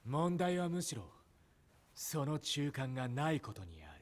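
A cold blunt captain. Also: male voice, a little slow. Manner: low, flat, cutting delivery. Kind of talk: anime game cutscene acting.

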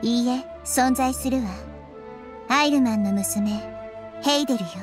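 A woman voicing a small, wise young girl deity in a game, speaking in a measured, gently reproving way. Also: high-pitched, music in the background. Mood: calm, reproving. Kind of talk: scripted Japanese game voice acting.

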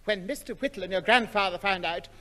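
An actor playing a fussy, naive, easily flustered prim gentleman in a 1960s radio sitcom. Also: high voice, quick pace. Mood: outraged. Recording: reverberant old radio studio recording.

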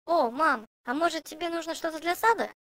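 A woman voicing a cheerful, insistent little girl pig in a children's cartoon, chirpy and expressive with childlike questioning. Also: sits high, brisk, creaky, dry recording, studio quality. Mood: curious, unsure.

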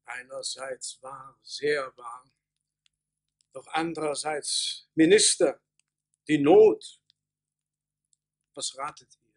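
An actor playing an indecisive, solemn emperor in a radio play, with a ponderous, declamatory way of talking. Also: male voice, on the high side, huge pitch swings, slow pace, gravelly, pauses often, exaggerated voice. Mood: torn, solemn. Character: dithering, solemn emperor torn between his advisers.

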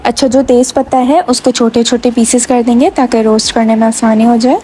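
Woman, cooking host, calm step-by-step instructions, mellow tone, faint music behind. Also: fairly high pitch, fairly quick. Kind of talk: step-by-step recipe narration.

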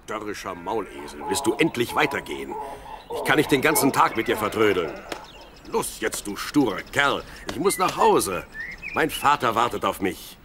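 An actor playing a plain-spoken, impatient young shepherd in a Bible audio drama. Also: male voice, fairly low pitch, very animated, moderate pace, crowd noise. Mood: exasperated. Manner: questioning, exclamatory.

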